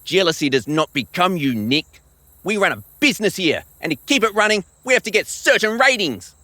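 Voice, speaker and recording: Vain hot-tempered tv hunter, theatrical audio drama, swoops around a lot, super forceful. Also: male voice, high-pitched, sing-song, fairly quick, no echo, heavily acted. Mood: haughty. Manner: pompous, theatrical, indignant. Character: pompous, hot-tempered male TV monster-hunting star.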